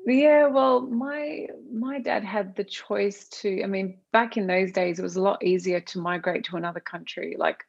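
A woman's voice: mid-range voice, steady tone, normal speed, vocal fry, few pauses. A woman from Australia, whose first language is Arabic, chatting as an interview guest with lots of fillers and restarts. She is talking over a remote call. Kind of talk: casual conversational interview. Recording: dull lo-fi sound.

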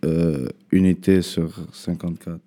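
A young man is answering questions in a relaxed interview. His is Arabic. He speaks haltingly, restarts his phrases and tags sentences with a loose "là". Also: low-pitched. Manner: halting, repeats words, trails off with "là". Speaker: guest rapper. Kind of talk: casual interview chat.

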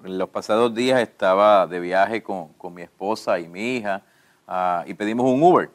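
A man, interviewing a pastor and telling stories in a lively way. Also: lowish voice, moderate pace. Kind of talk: church radio interview.

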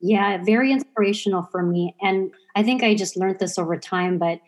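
A woman's voice: medium pitch, normal speed. A woman in her forties from Zambia, a podcast guest telling her family story earnestly and with casual fillers. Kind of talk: personal storytelling in an interview. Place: remote podcast recording.

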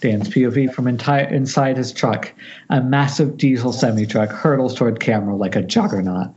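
A man's voice: mid-range voice, a little fast, a little mellow. A man reading horror screenplay stage directions aloud at an amateur table read over an internet call, stumbling and correcting himself. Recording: muffled internet call audio.

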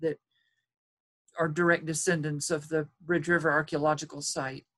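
A woman archaeologist as an interview guest, explaining her research in a measured way with some hesitations. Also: deep voice, flat delivery, fairly bright tone. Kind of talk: expert interview answers.

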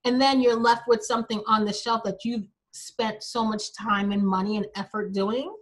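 Podcast host, female, slightly high voice, bright tone, chatty and upbeat. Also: fairly even intonation, normal speed. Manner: upbeat, chatty, laughs easily. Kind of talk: casual interview hosting.

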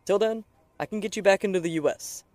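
A man's voice: high voice, quick pace, darkish tone, no echo, close to an everyday voice. A man playing a charming, flirtatious wartime soldier in an audiobook, casual and confident. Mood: reassuring. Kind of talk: dramatised audiobook dialogue.

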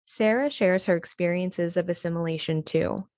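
A woman's voice: fairly low pitch, normal speed, darkish tone, no room sound, studio quality. A woman narrating from a script in a documentary style, introducing personal stories.

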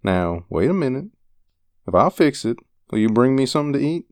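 A weary drifter man, acted out by a woman audiobook reader, low and halting, with a dry, close sound. He is a hungry, proud handyman, vague in manner, and his pitch moves up and down freely. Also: sing-song, a little mellow, no echo. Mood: bargaining.

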